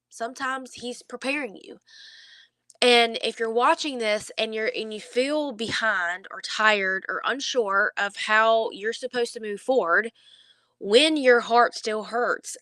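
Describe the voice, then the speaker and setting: A woman speaks in a bright, clear voice with a slightly congested nose. She talks to the camera about faith in an earnest, reassuring and conversational way, often halting to find her words. The sound is dry, with very little room in it. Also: on the high side, no echo. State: stuffy nose, under the weather. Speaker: faith vlogger. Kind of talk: heartfelt devotional talk to camera.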